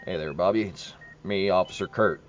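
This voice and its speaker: A man playing a plainspoken small-town police officer in an audio horror drama, speaking in a measured way. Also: low voice, close to an everyday voice. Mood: gentle, concerned. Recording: dull lo-fi audio, faint noise.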